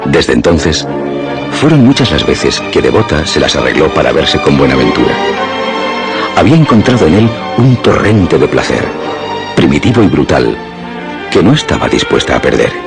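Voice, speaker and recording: Very deep male storyteller over music. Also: very animated, normal speed, gravelly. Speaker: radio drama narrator. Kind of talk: scripted literary storytelling narration.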